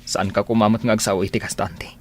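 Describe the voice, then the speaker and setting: Actor as sincere romantic male lead for radio drama: low, gentle, persistent, faint background noise. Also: little pitch movement, fast, close to an everyday voice. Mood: hurt, pleading. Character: earnest, lovelorn man Ferdinand, gentle and persistent.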